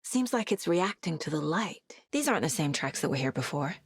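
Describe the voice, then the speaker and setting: A woman playing a focused, determined federal investigator in a video game, with an understated, introspective delivery and a husky voice. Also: deep voice, sing-song, brisk, dry recording, heavily acted. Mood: thoughtful, focused. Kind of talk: video game character voice lines.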